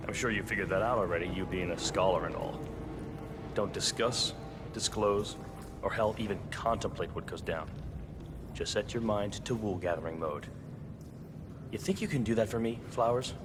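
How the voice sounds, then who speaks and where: An actor playing a brusque, wisecracking head of security in a video game, talking casually with sarcastic commands. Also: male voice, fairly low pitch, moderate pace, close to an everyday voice. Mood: wry briefing. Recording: music under the voice.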